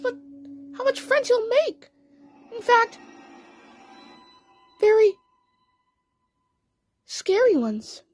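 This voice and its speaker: A woman's voice: extremely high pitch, voice pushed up, full character voice. A woman voicing a girlish, dramatic and bashful female mermaid cat in a cartoon story.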